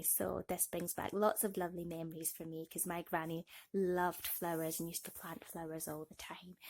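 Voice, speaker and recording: A woman reading a bedtime story aloud to children in an expressive storytelling style. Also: on the low side, creaky, breathy edge.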